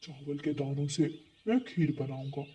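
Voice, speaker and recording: A man voicing a gentle, food-loving male pigeon in a children's cartoon story. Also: on the high side, animated, normal speed, recorded from a distance, lightly acted. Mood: pleased, gentle. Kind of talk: Hindi cartoon story acting.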